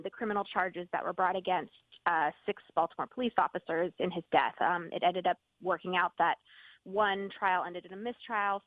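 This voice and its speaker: A woman guest dialing into a roundtable over a thin, tinny phone-like line. Her voice sits a little low, dry and close. She talks in a measured way, with frequent pauses and fillers. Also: dry recording. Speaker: podcast host, guest. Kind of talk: roundtable discussion.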